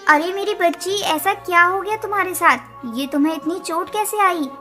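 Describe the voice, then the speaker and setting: Bright, high-pitched motherly parrot played by a woman. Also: normal speed, soft background music. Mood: alarmed, worried. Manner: caring, reassuring, earnest. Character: kindly motherly adult female green parrot. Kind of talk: Hindi cartoon dialogue.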